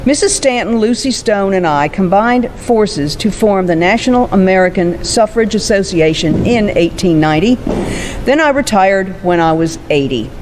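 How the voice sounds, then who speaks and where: A woman's voice: mid-range voice, expressive, normal speed, natural voice. Woman acting as resolute elderly suffragist, noisy recording. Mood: proud, dignified. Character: aged, resolute woman suffrage campaigner recounting her life. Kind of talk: first-person historical monologue on stage.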